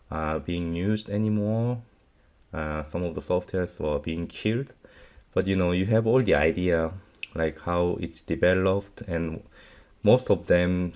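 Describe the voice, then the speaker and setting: A man's voice: deep voice, darkish tone. A Korean-born man whose first language is Korean, giving career advice in an unscripted Q&A, hesitant, with many fillers and non-native grammar. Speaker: vlog host answering viewer questions.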